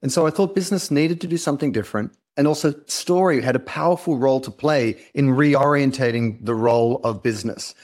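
Australian man, mid-pitched voice. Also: fairly quick, hardly any pauses, darkish tone. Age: middle-aged. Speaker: interview guest, company founder and author. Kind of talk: polished, earnest interview storytelling.

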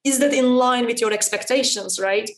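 Quick, emphatic Turkish-born woman founder. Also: on the high side, reverberant. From Turkey. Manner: fast, emphatic, with frequent "right?" tags. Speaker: startup founder, guest. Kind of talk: podcast interview answers.

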